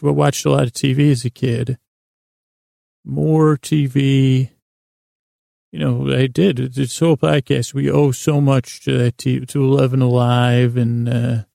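Very gravelly, mellow man rambling softly. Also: mid-range voice, no room sound, studio quality. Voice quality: gravelly, creaky. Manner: meandering, halting, self-interrupting, gently silly. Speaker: podcast host. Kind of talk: rambling bedtime monologue.

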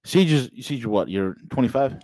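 A man's voice: mid-range voice, animated, moderate pace, a little mellow. A man in his forties hosting a casual political podcast, speaking straight to listeners with preachy, rising emphasis. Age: 40s. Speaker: podcast host.

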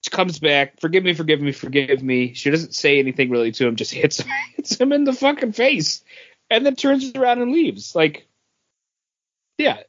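A man co-hosting a podcast, talking casually about comics and feeling his way haltingly through his thoughts. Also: a little high, big pitch swings, lo-fi sound. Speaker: podcast co-host. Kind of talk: casual comic book review chat.

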